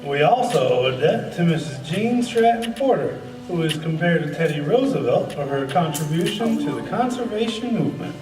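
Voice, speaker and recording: A man's voice: fairly high pitch, very animated, normal speed, few pauses. A man playing a rich, grateful parks philanthropist in an amateur radio play, with a formal, declamatory delivery. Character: rich, grateful philanthropist, former soap-company tycoon, parks champion. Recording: roomy, distant mic, music behind.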